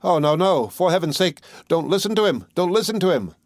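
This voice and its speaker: A man's voice: high voice, lively intonation, a little fast, dry recording, studio quality, voice pushed up. A man voicing a greedy old miser in a fairy tale, with a pleading, exclamatory manner. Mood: frantic begging. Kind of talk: fairy tale audiobook character voice.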